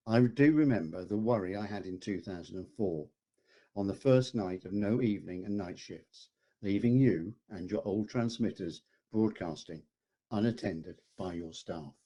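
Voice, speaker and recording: A man's voice: on the low side, dark tone. A man in his sixties reading a scripted tribute message. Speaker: former engineering manager.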